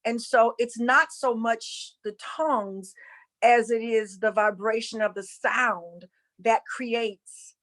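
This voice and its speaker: A woman's voice: on the high side, slow pace, a bit bright. A woman from North Carolina with a Southern accent, teaching about spirituality casually and earnestly, repeating phrases for emphasis. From North Carolina, USA.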